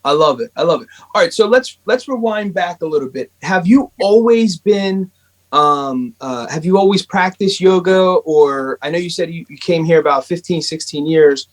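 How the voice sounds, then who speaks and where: A man's voice: a little high, lively intonation, moderate pace. A young man hosting a podcast, reading an upbeat intro and asking the guest questions. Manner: upbeat, hyping, says "I love it". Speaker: podcast host.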